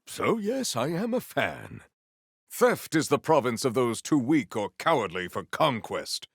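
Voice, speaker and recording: An actor playing a solemn, valiant warrior hero in a video game, speaking in formal, archaic, grandiose phrases. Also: male voice, mid-range voice, huge pitch swings, measured pace, vocal fry, husky, no echo, clean studio sound, heavily acted. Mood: proud, earnest. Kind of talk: theatrical video game character lines.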